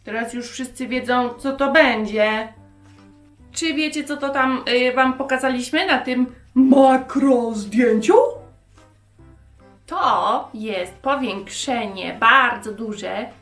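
High voiced lady doing kids bible storytime, slow and simple, roomy echo. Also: a bit bright. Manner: simple, direct address to children. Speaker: presenter of a children's religious show. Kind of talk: storytelling for young children. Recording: roomy echo, music behind.

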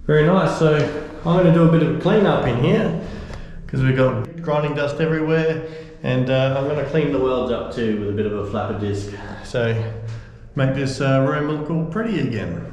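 A man, hosting a boat restoration vlog in a relaxed, chatty way full of ums and uhs. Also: medium pitch, normal speed, dull audio. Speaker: boat restorer and channel host. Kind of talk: casual vlog narration. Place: inside a steel boat hull, then an outdoor patio.

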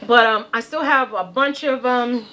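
A woman speaks off camera to a home video, her voice high and very bright. She tells her story casually and with animation, putting on the other people's lines, and turns squeaky when upset. The sound is plain camera audio from a home room. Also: moderate pace, slight background noise, rough recording. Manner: animated, acts out quoted dialogue. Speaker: vlogger.

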